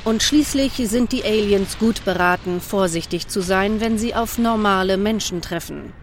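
A woman reading scripted narration in a measured, official, matter-of-fact manner. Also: medium pitch. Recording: faint background noise.

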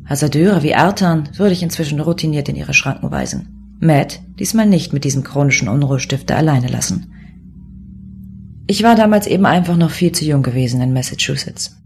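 A woman's voice: sits low, animated, brisk, a little mellow. An actress playing a cool, determined, sardonic female government commissioner in a German science fiction audio drama. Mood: brooding, resolute. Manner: sardonic, self-assured. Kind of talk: German audio drama acting with inner monologue. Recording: music bed behind the voice.

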